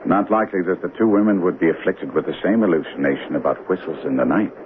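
An actor playing a cerebral master detective in a 1970s radio drama, speaking deliberately, precisely and formally. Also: male voice, sits low, close to an everyday voice. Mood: cool, pondering. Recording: tinny old radio broadcast, faint noise.

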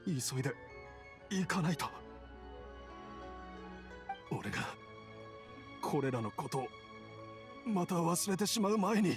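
An actor playing an earnest, desperate young village man in a video game, speaking plainly and roughly with halting intensity. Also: high-pitched, big pitch swings, exaggerated voice. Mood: agitated, straining. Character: earnest, desperate young village husband, sickly but determined. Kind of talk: Japanese video game voice acting. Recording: studio voice over game music. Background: background music.

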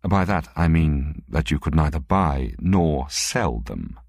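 A man voicing a cool, wary male thief in an audiobook, speaking in a mild, measured, understated way. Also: rumbling low, expressive, vocal fry, darkish tone, no room sound, clean studio sound, heavily acted. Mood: mild, amused.

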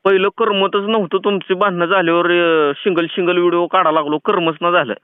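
Male prank caller, rural Maharashtrian accent, high-pitched, chatty and pushy, on a narrow phone line. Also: fairly even intonation, fairly quick, a little hiss or hum. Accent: rural Maharashtrian Marathi. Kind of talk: casual teasing phone chat. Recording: tinny, phone-like band-limited sound.

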